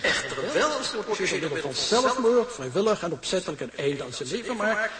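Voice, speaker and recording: A man's voice: sits high, very animated, a little fast, long reverb tail, a loud crowd. A man acting in a radio drama as an officious, formal official who reads out legal clauses pedantically. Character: officious male shipping official reading out a contract.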